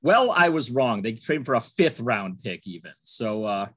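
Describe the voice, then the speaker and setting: A man from Texas co-hosts a sports podcast and talks in a relaxed, easygoing way. His voice sits at an ordinary middle pitch. The recording sounds dry and close. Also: normal speed, husky, no echo. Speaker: podcast co-host. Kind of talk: casual sports podcast chat.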